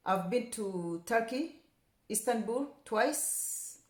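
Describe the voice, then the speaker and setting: A woman's voice: a little high, lively intonation, takes it slowly. A young woman from Rwanda talking casually to camera, chatty and repeating words for emphasis, turning passionate. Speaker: vlogger. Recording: echoey room.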